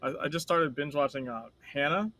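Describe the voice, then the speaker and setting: A man co-hosting a casual chat, telling stories in a rambling, animated way and swearing freely. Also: on the high side, fairly quick, dry recording. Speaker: podcast co-host.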